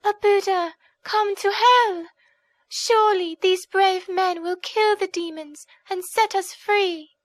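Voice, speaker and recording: A woman's voice: extremely high pitch, slow, dry recording, voice pushed up. A woman voicing grateful young captive princesses in a storybook, exclaiming effusively. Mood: hopeful, pleading. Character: grateful captive princesses, young women praising their rescuer. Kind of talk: storybook character voice.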